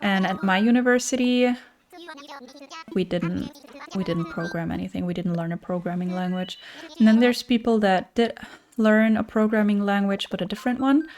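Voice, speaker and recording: A woman's voice: mid-range voice, moderate pace, darkish tone. A young woman with a German accent, chatting casually on a livestream and answering viewer messages with frequent fillers. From German-speaking. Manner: chatty, thinks aloud with fillers. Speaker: streamer.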